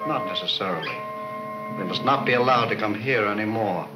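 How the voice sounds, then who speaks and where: An actor playing a criminal mastermind in a 1940s serial, speaking with measured, polite formality and cool menace. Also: male voice, on the low side, lively intonation, close to an everyday voice, low-quality recording. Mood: coolly menacing. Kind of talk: 1940s movie serial villain acting. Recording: old film soundtrack, music behind.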